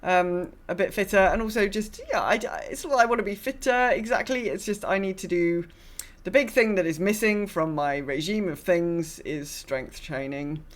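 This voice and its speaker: A woman in her mid-forties co-hosting a podcast, chatting casually in a rambling, self-deprecating and expressive way. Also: medium pitch, normal speed. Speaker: podcast co-host. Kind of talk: casual chat.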